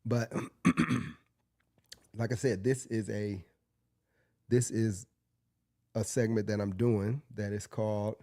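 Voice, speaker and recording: A man hosts his own podcast while under the weather, sounding a bit sniffly and congested. His voice sits fairly low and mellow, recorded dry and close. He talks loosely, circling back and restarting phrases as he goes. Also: fairly even intonation, slow pace, pauses often, no room sound. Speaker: podcast host. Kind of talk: casual solo podcast talk.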